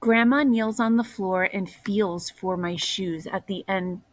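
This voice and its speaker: A woman reads a children's picture book aloud like a teacher. Her pitch is mid-range and her delivery is slow and deliberate, made for young listeners. The sound is dry, with little room in it. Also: dry recording. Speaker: storybook reader, teacher.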